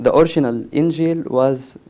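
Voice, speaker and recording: A man from Syria with Arabic as his first language, answering interview questions slowly with many pauses and fillers. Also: a little high, fairly even intonation, dark tone, a little hiss or hum. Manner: hesitant, many "uh" fillers, careful non-native English. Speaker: podcast guest.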